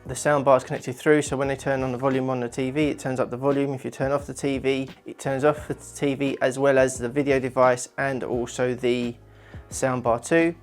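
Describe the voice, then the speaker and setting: A man with a mid-pitched voice walks viewers through an installation project. He explains things informally, like a tradesman presenting his own work on a company channel. Music plays along with his voice. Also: flat delivery, a little fast.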